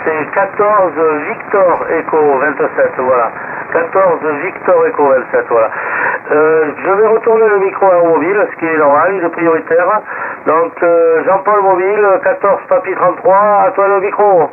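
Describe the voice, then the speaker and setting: Guy on cb radio passing the mic round, tinny n staticky, highish voice, casual. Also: moderate pace. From Bordeaux area, France. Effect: two-way radio. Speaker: CB operator relaying the microphone. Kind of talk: casual radio contact chat. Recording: narrow, noisy CB radio transmission.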